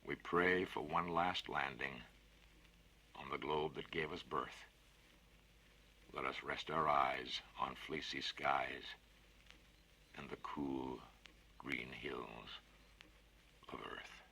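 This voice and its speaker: A man performs a doomed spaceman poet reciting wistful verse in a science fiction story. The voice is very deep with a forceful edge, and each line is drawn out slowly and solemnly. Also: fairly even intonation, creaky, husky, full character voice. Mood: wistful, hushed.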